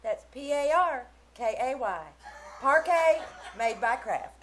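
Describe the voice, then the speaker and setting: High, lively woman reading a radio ad. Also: slow pace. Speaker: commercial announcer. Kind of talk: scripted 1940s radio ad read.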